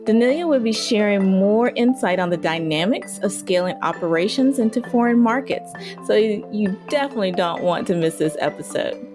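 A woman's voice: mid-range voice, lively intonation, moderate pace, over music. A woman hosting a podcast, reading a scripted intro and then interviewing with enthusiasm. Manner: upbeat and enthusiastic. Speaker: podcast host.

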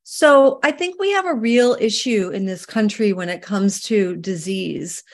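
A middle-aged woman from Canada, a holistic healer answering interview questions in an earnest, emphatic way that sometimes slows down to make a point. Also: medium pitch, animated.

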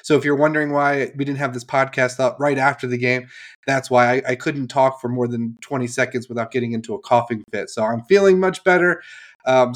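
A man hosting a casual sports podcast, a little nasal as he gets over a cold, upbeat and chatty. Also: mid-range voice. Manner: upbeat, chatty, laughs easily. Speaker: podcast host.

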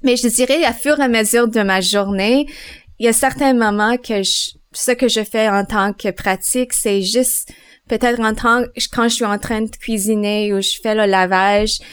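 A woman's voice: on the high side, fairly even intonation, normal speed, clear, resonant tone, no room sound. A woman, a mother at home, answering interview questions on faith thoughtfully and hesitantly. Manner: hesitant, searching for words, soft laughs.

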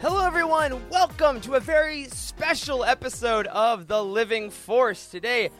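A man's voice: extremely high pitch, moderate pace, bright, forceful tone, faint music behind. A man hosting a podcast in a casual, upbeat interview style, chatty and effusive. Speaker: podcast host.

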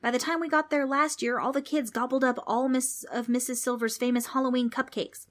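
Booming impatient demon, pitched high, by a woman. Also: steady tone, a little fast. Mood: impatient, demanding. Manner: booming, demanding shouts. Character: gruff, impatient demon banging to get in.

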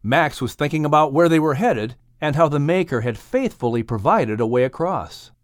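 A man narrating a children's audiobook story in a dramatic, expressive style. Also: a little high, normal speed, dry recording, clean studio sound.

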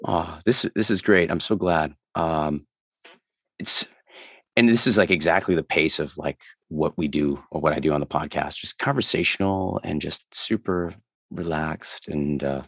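A man's voice: a bass voice, a little slow, fairly bright tone, breathy edge, dry recording. A man from Rhode Island with a New England accent, hosting a conversational podcast in an effusive, earnest manner. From Rhode Island, USA. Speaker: podcast host.

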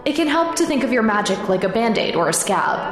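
Gentle, encouraging magic coach, echoey. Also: female voice, on the high side, very animated. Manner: gentle, informal, halting. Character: patient, encouraging woman coaching a magic learner. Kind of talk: audio drama acting. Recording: echoey reverb over music.

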